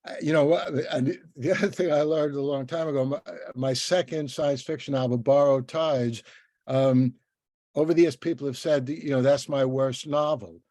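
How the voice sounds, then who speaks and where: Mellow-voiced man, halting interviewer. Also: medium pitch, steady tone, no echo. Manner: halting, rambling, with many repeated word starts. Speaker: interviewer and podcast host. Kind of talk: casual interview chat.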